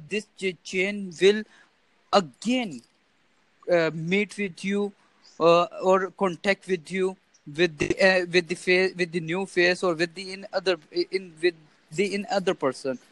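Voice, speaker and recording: Halting young man, high voice. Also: no room sound, poor audio. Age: 20s. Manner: halting, many restarts and fillers. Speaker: conversation partner. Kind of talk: casual chat.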